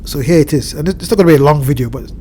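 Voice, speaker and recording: A man with a blocked nose and a slightly nasal voice, walking through a chart with measured explanations and frequent pauses to find his words. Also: a little high, dark tone, a little hiss or hum. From Southern Hemisphere. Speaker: crypto market analyst. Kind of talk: screen-share chart walkthrough. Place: home at evening, indoors.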